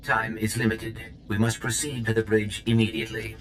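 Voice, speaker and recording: A man's voice: on the low side, completely flat delivery, normal speed, slight background noise, close to an everyday voice. A man voicing a polite, formal protocol droid in a video game, precise and coolly menacing. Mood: formal, unruffled. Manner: formal, precise, clipped announcements. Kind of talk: video game character acting.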